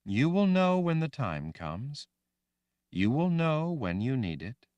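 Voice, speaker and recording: A gentle motherly woman spirit speaks in a soft, soothing voice, read by a male audiobook narrator. The delivery is slow and calm. It sounds close to the mic in a dry space. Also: mid-range voice, no echo, lo-fi sound, pitched above the performer's own voice. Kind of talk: audiobook reading.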